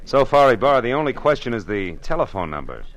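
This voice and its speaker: An actor playing a wisecracking hardboiled private detective in an old radio drama. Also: male voice, mid-range voice, very animated, fast talker, muffled recording, lightly acted. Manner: dry, wisecracking, laconic. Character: hardboiled male private detective, wry and cool. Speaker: narrator and lead. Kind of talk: 1950s radio acting.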